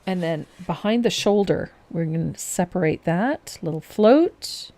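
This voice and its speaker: A woman in her fifties hosting a live painting lesson, calmly explaining each step and laughing often. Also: on the low side, unhurried. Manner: calm step-by-step instruction with frequent laughs. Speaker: painting instructor and host.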